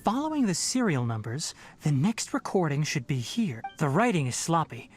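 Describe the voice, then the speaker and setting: An actress playing a calm, analytical scholar in a video game, measured and thoughtful as she explains things. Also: low-pitched, faint music behind. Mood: pensive. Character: calm, analytical woman scholar investigating a mystery.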